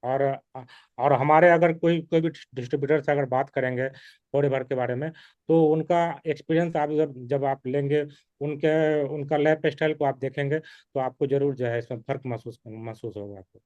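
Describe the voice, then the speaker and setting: A man's voice: medium pitch, fairly even intonation, normal speed, darkish tone, no room sound, highs cut off. A man who sells health products, answering a customer's objections hesitantly and with many fillers. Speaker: network marketing distributor.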